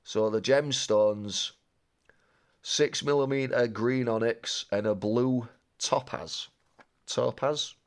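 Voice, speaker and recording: A man chatting casually while opening trading cards, sounding a little bunged up with a cold and rambling excitedly. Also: mid-range voice, slow pace. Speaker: card-opening vlogger. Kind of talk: casual unboxing chat.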